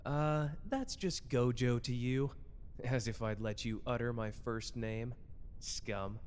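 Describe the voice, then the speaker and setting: A man's voice: takes it slowly, darkish tone, natural voice. A man playing a cocky, protective young sorcerer in an anime audio drama, with a taunting, casual swagger. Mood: mocking contempt. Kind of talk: scripted anime fan audio drama acting. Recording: long reverb, faint rumbling noise.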